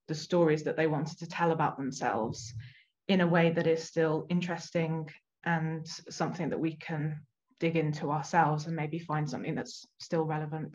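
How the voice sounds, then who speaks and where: A young woman author answering a panel question on a video call, reflective and searching for words. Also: deep voice. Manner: reflective, with fillers and restarts. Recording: muffled video call audio.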